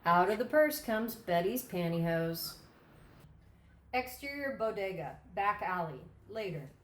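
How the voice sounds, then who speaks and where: A woman's voice: mid-range voice, lively intonation, measured pace, slight background noise. A woman reading scene directions as scripted narration at a screenplay table read. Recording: roomy echo.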